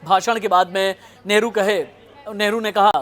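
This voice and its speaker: A man's voice: high-pitched, steady tone, moderate pace, a bit bright, some chatter behind. A man presenting history as a news host, narrating it as a dramatic story in a suspenseful, emphatic manner.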